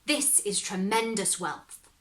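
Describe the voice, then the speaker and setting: A woman's voice: medium pitch, slow, fairly bright tone. A woman voicing a greedy fairy-tale king, eager for gold.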